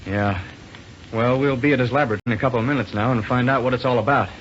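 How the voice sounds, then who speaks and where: An actor playing an earnest, plain-spoken young pilot hero with a folksy, eager manner in 1930s radio style. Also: male voice, mid-range voice, racing, a bit bright, natural voice. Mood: puzzled, determined. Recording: muffled, hissy 1930s radio recording.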